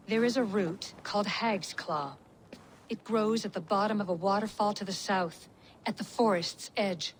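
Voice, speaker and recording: Female actor as bitter, proud Norse witch, game cutscene, sardonic, mid-pitched, faint ambience behind. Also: slight background noise, close to an everyday voice. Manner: sardonic, haughty. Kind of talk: video game cutscene dialogue.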